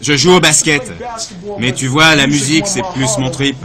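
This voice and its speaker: Guy doing a laid-back slangy voice-over of an interview, busy street crowd loud behind. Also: medium pitch, steady tone, normal speed. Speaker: voice-over translator.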